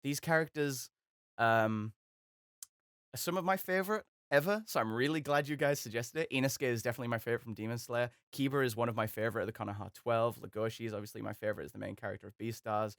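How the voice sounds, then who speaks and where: A man's voice: mid-range voice, quick pace, clear, resonant tone, no room sound. A young man who voices characters as a hobby, talking in a hesitant, self-deprecating, chatty way. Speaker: hobby voice actor. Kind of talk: casual commentary.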